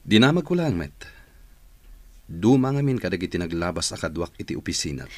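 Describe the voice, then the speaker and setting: A man's voice: low voice, very animated, fairly quick, dry recording, heavily acted. A man with an Ilocano accent playing a curious, guarded office boss, curt and inquisitive, in a radio drama.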